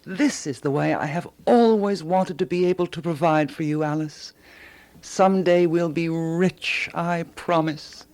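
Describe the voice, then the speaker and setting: A woman's voice: fairly low pitch, unhurried, darkish tone, dry recording. A woman actor playing a self-assured, self-important woman in a radio drama, speaking with grand deliberation. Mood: fond, proud.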